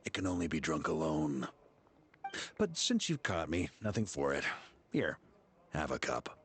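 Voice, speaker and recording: An actor playing a seasoned expedition commander in a fantasy game, wry, commanding and philosophical, with folksy turns of phrase. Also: male voice, a bass voice, very animated, measured pace, vocal fry, fairly bright tone, a little hiss or hum, heavily acted.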